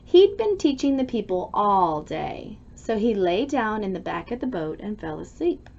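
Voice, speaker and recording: A woman reading a children's storybook aloud expressively, with dramatic, deliberate emphasis. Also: mid-range voice, sing-song, vocal fry, a little mellow, highs cut off.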